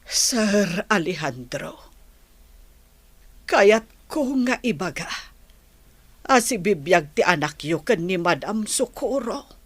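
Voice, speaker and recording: A woman playing a worried, apologetic grandmother with a secret in an audio drama, speaking haltingly and pleadingly. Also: medium pitch, animated, no echo. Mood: remorseful, pleading. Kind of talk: dramatic audio story acting in Philippine language.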